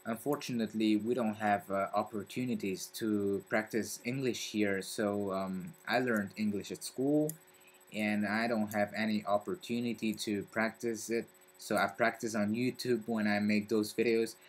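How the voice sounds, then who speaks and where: A young man from Belgium with a French accent, talking casually to camera in a halting way and searching for his words. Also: on the low side, fairly even intonation. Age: young adult. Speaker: vlogger. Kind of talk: casual vlog talk to camera.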